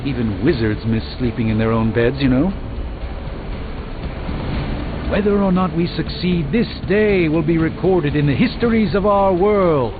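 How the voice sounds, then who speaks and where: A man's voice: on the high side, moderate pace, hardly any pauses, noisy background, close to an everyday voice. A man playing a proud, erudite sorcerer superhero in a video game, speaking formally with dry wit. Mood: eager, wry. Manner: formal, wordy, dryly witty. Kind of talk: video game character dialogue. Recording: muffled game capture with music behind.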